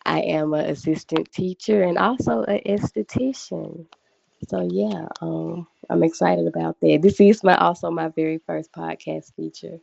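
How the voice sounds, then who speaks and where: A woman in her early thirties, a guest on a casual group podcast over a call, speaking in a slow, relaxed, thoughtful way. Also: lowish voice, vocal fry, highs cut off. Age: 30s.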